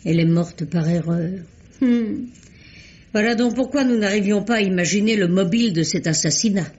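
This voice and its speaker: Actress playing a kindly, commanding older lady detective, breathy, old radio sound. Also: mid-range voice, normal speed, darkish tone, natural voice. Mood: reassuring. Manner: composed, motherly, authoritative. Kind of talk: 1950s radio drama acting. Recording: dull old radio recording.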